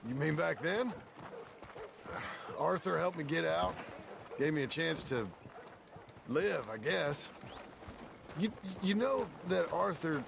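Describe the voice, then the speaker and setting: An actor playing a rugged Western outlaw in a game, with a rural American accent and a terse, folksy, guarded way of talking. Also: male voice, a little high, lively intonation, slow pace, vocal fry, pauses often, background noise, exaggerated voice. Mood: guarded, earnest. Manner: folksy, terse, plain-spoken. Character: rugged, plain-spoken male Western outlaw, guarded and gruff. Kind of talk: cinematic game dialogue.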